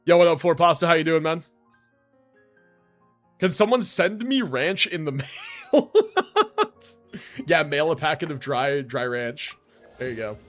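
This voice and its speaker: An American man chatting casually on a live game stream in an animated, slangy, joking way. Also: a little high, a little slow, long pauses, soft background music.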